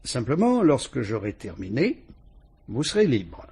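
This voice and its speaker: An actor with a French accent playing a calm, shrewd police inspector who politely and ironically probes a suspect in a vintage radio drama. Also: male voice, medium pitch, gravelly, husky, exaggerated voice. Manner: measured, probing, politely ironic.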